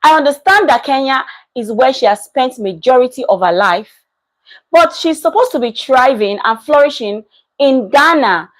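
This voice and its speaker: A woman's voice: high-pitched, moderate pace, low-quality recording. A woman, giving casual reaction commentary and reading out viewer comments in an emphatic way that repeats points. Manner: animated, emphatic, repeats herself. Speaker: commentary vlogger.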